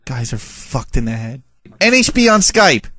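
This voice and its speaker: A man's voice: mid-range voice, big pitch swings, normal speed, pushed and bright, dry recording. A man hosting a radio show in casual chat, teasing and mocking. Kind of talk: casual chat, reacting to listener voicemails.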